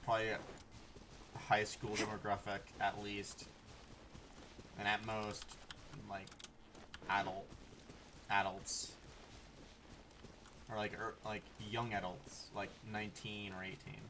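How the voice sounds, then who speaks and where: Low-voiced young man on a noisy distant mic. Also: flat delivery, slow, pauses often. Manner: blunt, swears freely. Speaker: game streamer. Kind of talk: casual rambling gameplay commentary. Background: game sounds, clicking.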